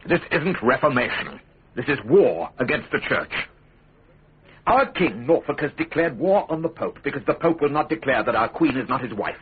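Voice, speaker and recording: An actor playing a wry, principled middle-aged English statesman, measured, dry and lawyerly, in a radio drama. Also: medium pitch, animated, breathy edge. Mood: earnest. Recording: phone-like lo-fi radio recording, faint noise.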